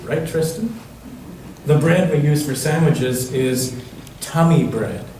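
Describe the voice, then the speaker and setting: A man reading a picture book aloud to children in a church, pausing to put questions to them. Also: a little high, a little mellow. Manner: pauses to ask the listening children questions. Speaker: church children's story reader. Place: large echoey church interior. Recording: very echoey church room, distant mic, faint noise.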